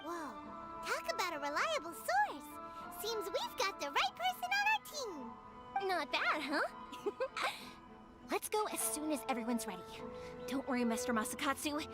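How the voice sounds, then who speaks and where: A woman voicing a cheerful, excitable young fireworks shopkeeper in an anime-style video game, giggly and prone to exclaiming. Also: very high-pitched, unhurried, full character voice. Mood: playful, confident. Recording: game music behind the voice.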